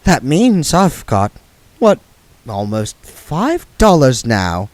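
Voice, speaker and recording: A man's voice: mid-range voice, wildly swooping pitch, slow pace, darkish tone, dry recording, pitched above the performer's own voice. A man voicing a sly old woman witch who plays sweet and naive. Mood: falsely innocent.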